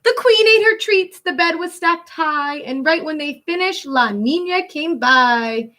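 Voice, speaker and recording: A woman schoolteacher reading a picture book aloud to children, expressive and instructive, drawing out key words and pausing to explain. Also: high-pitched.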